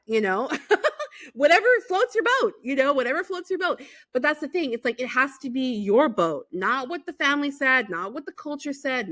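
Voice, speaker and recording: A woman's voice: high-pitched, a bit bright. A woman from Los Angeles hosting a podcast, chatting animatedly with lots of fillers and laughter. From Los Angeles, USA. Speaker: podcast host. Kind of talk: casual interview chat.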